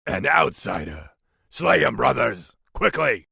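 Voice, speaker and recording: An actor playing a fierce, evil orc warlock in a video game, shouting commands. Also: male voice, medium pitch, very animated, takes it slowly, creaky, breathy edge, no room sound, clean studio sound, exaggerated voice. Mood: furious.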